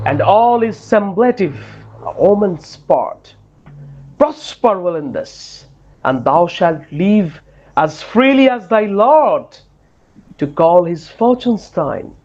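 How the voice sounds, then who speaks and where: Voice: mid-range voice, a little mellow, lo-fi sound. A performer playing a lovesick, grandly romantic duke in a theatrical Shakespearean dramatic reading, with declamatory, swooning delivery. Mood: eager, confiding.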